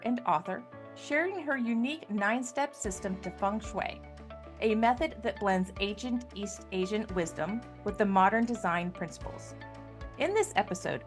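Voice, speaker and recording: A woman hosting a podcast interview, enthusiastic and a little halting as she asks her questions. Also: mid-range voice. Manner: enthusiastic, stumbling over questions. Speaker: podcast host.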